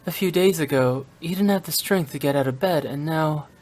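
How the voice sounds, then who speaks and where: A man's voice: fairly high pitch, darkish tone, lightly acted. Man as a courteous, composed young nobleman. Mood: somber, composed. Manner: measured, formal. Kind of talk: English video game voice acting.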